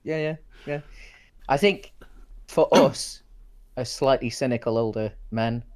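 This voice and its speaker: A man's voice: medium pitch, a little slow, a little mellow. A man co-hosting a casual film discussion, thinking aloud in hesitant, circling phrases. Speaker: co-host of a film discussion show.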